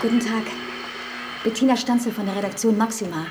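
An actress playing a polite, slightly flustered magazine journalist in a radio drama, candid and a little halting. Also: female voice, on the high side, heavy background noise, lightly acted. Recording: loud music behind the voice.

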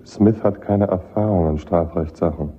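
A man's voice: sits low, moderate pace, dull audio, a little hiss or hum. A man playing a shrewd, frank lieutenant assisting the defence in a German radio play, speaking with dry candour. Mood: dry, candid.

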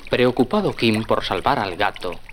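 A man's voice: fairly low pitch, expressive, normal speed, a little hiss or hum. A man narrating a scripted children's tale in a storytelling style.

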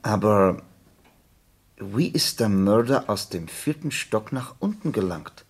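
A man acting in a radio play as a detective's attentive companion, thinking aloud and questioning hesitantly in a low, husky voice. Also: lively intonation, takes it slowly, exaggerated voice. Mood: puzzled, curious. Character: detective's attentive, thoughtful male companion, questioning and following along.

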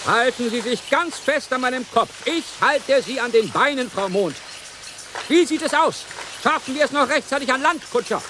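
An actor playing a gallant, courteous sea captain in a radio play, theatrical and expressive in his speech. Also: very high voice, moderate pace, background noise. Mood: excited, attentive. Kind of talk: German radio play acting.

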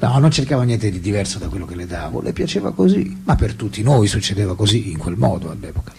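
An actor playing a candid, detached French intellectual man recalling his life in a radio drama, with a husky voice and reflective, hesitant delivery. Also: fairly low pitch, sing-song, fast, a little mellow, slight background noise. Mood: candid, dismissive. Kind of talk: radio drama acting, memoir monologue and dialogue.

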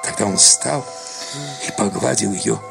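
A man narrating a literary story as an actor would, in an expressive scripted reading. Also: lowish voice, a little slow, gravelly. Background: music.

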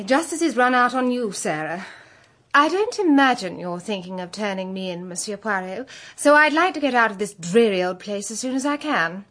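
Brazen, higher-voiced young thief. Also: female voice, fairly bright tone. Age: young adult. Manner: bold, mocking. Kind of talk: radio drama acting.

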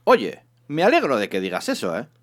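A man voicing an excitable, breezy male space hitchhiker in a comic science fiction audiobook, airy, offhand and teasing. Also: mid-range voice, fairly quick.

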